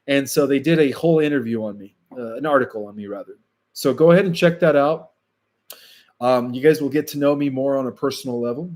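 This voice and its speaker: A man whose first language is Spanish, hosting a casual livestream and answering viewer questions in a slow, deliberate way with frequent fillers. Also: mid-range voice.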